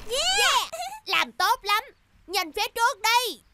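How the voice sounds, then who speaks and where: Young cartoon boy hero: very high, animated, upbeat, helpful. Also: slow pace, slight background noise, exaggerated voice. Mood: encouraging. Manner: upbeat, encouraging. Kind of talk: children's cartoon dubbing.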